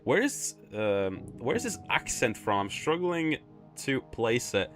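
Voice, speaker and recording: A man from Poland with a mixed British and American accent, chatting and thinking out loud while streaming a game. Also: mid-range voice, sing-song, measured pace. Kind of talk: casual gaming livestream chat. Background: music.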